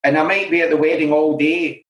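A middle-aged Glaswegian man speaks candidly and with self-deprecating humour. His voice sits a little higher than most men's. He is recorded in an echoey room that gives the voice a roomy ring. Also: fairly even intonation, fairly quick. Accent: Glaswegian Scottish. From Scotland. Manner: frank and self-deprecating, full of "you know" asides. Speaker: interview guest in alcohol recovery. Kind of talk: candid conversational storytelling.

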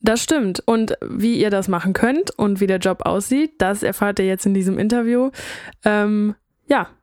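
A woman's voice: medium pitch, normal speed, a little mellow, no echo, clean studio sound. A woman co-hosting a podcast, explaining numbers casually with fillers. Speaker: podcast co-host. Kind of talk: podcast conversation.

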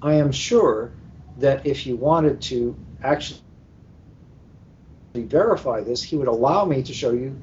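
A male book editor telling anecdotes in a panel conversation, with an emphatic, deliberate manner. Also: a little mellow. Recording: muffled internet call, clear background rumble.

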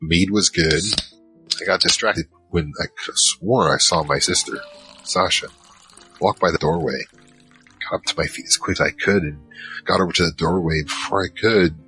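Naive young man narrating his own adventure male actor, bottom-register yet bright, halting and informal, audio drama. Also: sits low, soft background music, poor audio. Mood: recounting, casual.

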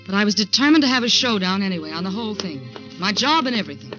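A woman's voice: medium pitch, lively intonation, close to an everyday voice. An actress with an American accent playing a confident, wary woman art director in a 1940s radio suspense drama. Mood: determined. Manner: brisk, direct, challenging. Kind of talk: 1940s radio suspense acting and first-person narration. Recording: dull old radio recording, music underneath.

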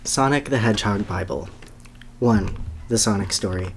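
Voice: deep voice, normal speed, a little hiss or hum. A podcast host talking casually and reading a story aloud as a dramatic reading.

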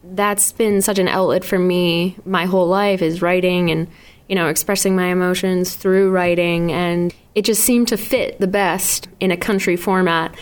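A woman's voice: on the low side, steady tone, moderate pace, smooth. A young woman singer with a New England accent, chatting in a radio studio interview, rambling with frequent laughs and restarts. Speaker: singer-songwriter guest.

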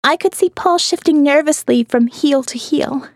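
A woman with a high voice reads dramatic first-person fiction. Her delivery is expressive and wry, and the sound is close and dry. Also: moderate pace, no echo. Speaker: audiobook narrator.

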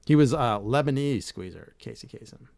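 A man's voice: medium pitch, expressive, moderate pace, creaky, darkish tone, no echo, studio quality. A middle-aged man hosting a casual podcast chat, reading facts aloud and swearing casually. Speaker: podcast host.

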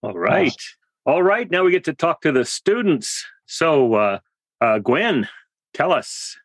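A male podcast host moderates a roundtable with quick, encouraging prompts. His voice is a little high and very lively in pitch, and it is recorded close and dry. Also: dry recording.

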